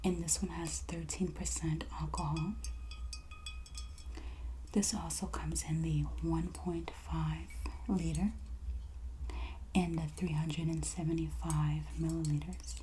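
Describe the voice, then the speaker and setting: ASMR merchant role: woman, dark mellow tone, soft breathy near-whisper, very unhurried, gentle presenting. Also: low voice, level tone, slight background noise. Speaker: ASMR host playing a wine and spirits merchant. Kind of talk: soft-spoken ASMR roleplay, product showcase.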